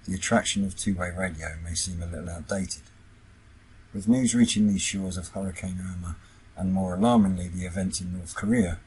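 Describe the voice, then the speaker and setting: A man presents a scripted explainer as the host of an online video. His voice sits low and deep. It has a strongly husky, unclear grain throughout, and he delivers prepared sentences as a narrator. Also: a little mellow, rough recording. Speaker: YouTube host, CB radio hobbyist.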